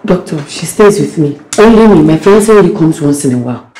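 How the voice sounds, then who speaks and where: A woman's voice: low-pitched, lively intonation, a little fast, dark tone, a little hiss or hum, poor audio. A Nigerian woman acting a harsh, scolding mother with a volatile temper in a screen drama. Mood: defensive, tense. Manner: scolding, confrontational.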